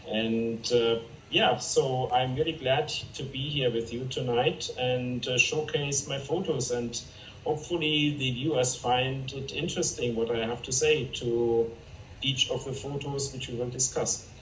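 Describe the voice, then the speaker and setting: A man's voice: mid-range voice, steady tone, roomy sound, a little hiss or hum. German-accented man on a distant video call. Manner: earnest, halting with many fillers. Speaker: interview guest, photographer.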